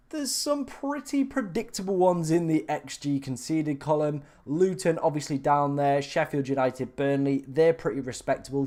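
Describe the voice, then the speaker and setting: A man's voice: on the high side, dark tone. A young man from England chatting casually on a live stream, reading out viewer questions and talking through options with lots of fillers. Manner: chatty, filler-heavy, restarts, reads viewer questions aloud. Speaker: fantasy football streamer answering live chat.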